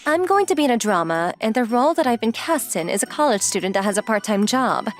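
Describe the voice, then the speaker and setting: Woman acting a breezy young model, high lively voice. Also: fast talker. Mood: easygoing, explanatory. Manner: forward, playful, casually inquisitive. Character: confident, teasing, breezy young female model and student. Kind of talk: anime-style dub acting. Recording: music behind the voice.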